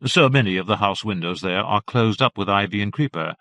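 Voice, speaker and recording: A man voicing a prim, practical middle-aged aunt who speaks in a brisk, matter-of-fact way. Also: on the low side, pushed and bright, dry recording.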